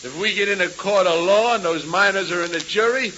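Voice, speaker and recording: High, excitable outlaw henchman. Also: fairly quick. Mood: worried, wheedling. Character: excitable, wheedling captured Western outlaw henchman. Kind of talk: 1930s radio acting. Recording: hissy, muffled 1930s radio transcription.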